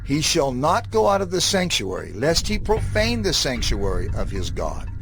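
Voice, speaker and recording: A man giving a dramatized scripture reading in a solemn, measured, declamatory manner. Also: on the high side, huge pitch swings. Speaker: scripture reader. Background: prominent music.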